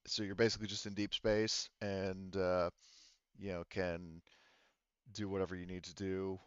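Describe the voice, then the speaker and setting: A man working as game master, casually narrating a sci-fi tabletop roleplaying game in a halting, think-aloud way. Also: low-pitched, level tone, clean tone, dry recording, lo-fi sound.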